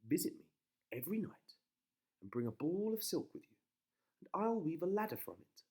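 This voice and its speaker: A man voicing a feisty, sharp-tongued young princess in a bedtime story, girlish and indignant. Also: sing-song, normal speed, husky. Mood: eager. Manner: indignant, exaggerated high girlish delivery.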